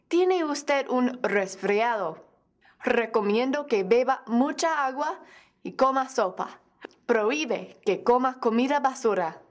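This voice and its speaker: A woman reading as a calm, slow-spoken doctor. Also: a little high. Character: calm adult doctor giving advice.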